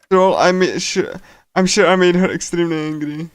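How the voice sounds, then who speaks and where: A man livestreaming a game, casually commenting and reading aloud in a halting way, often repeating himself and stumbling. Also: high voice, clean tone, dry recording. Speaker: streamer. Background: game music.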